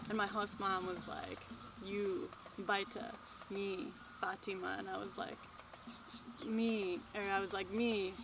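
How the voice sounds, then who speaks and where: A woman's voice: mid-range voice, flat delivery, slow. A young American woman volunteer talking casually in an interview about her own experience. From Montana, United States. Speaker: Peace Corps volunteer. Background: music.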